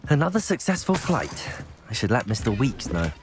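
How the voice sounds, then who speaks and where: A man's voice: mid-range voice, very animated, moderate pace, over music. A young man with a British accent playing a plucky, good-natured teenage wizard student in a video game, upbeat and polite. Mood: pleased.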